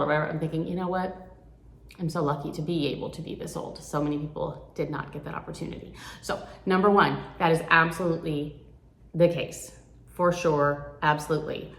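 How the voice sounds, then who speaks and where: A woman of sixty talking candidly and earnestly to her viewers, reflecting at a rambling, thoughtful pace. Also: lowish voice. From United States. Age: 60s. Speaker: vlogger. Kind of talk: candid reflective chat to camera. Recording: echoey room.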